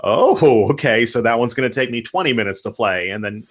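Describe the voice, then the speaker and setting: A man's voice: mid-range voice, lively intonation, fairly quick. A man in his thirties eagerly explaining how a card game works in a casual video-call chat, laughing easily. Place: home room. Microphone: headphones with studio mic on a video call.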